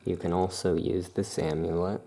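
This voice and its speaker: Soft-spoken ASMR shopkeeper, unhurried and calm, with a mellow, gravelly tone. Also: sits low. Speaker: witch shop keeper presenting amulets.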